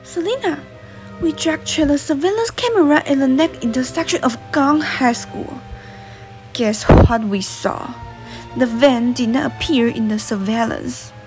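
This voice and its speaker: A young woman plays an inquisitive female investigator in a student radio drama. Her voice is high, her English has a Taiwanese accent, and music plays clearly behind it. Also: a little slow, poor audio. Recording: voice over clear background music.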